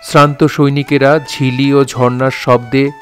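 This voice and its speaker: A man's voice: medium pitch, flat delivery, a little slow, fairly bright tone, faint music behind. A man narrating an audio drama in a formal, literary storytelling manner.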